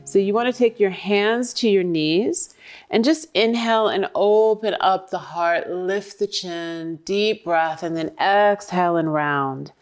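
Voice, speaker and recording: A woman yoga instructor guiding breathing and stretches with calm, encouraging, short cues. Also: mid-range voice, measured pace, soft background music. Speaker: yoga instructor leading a class.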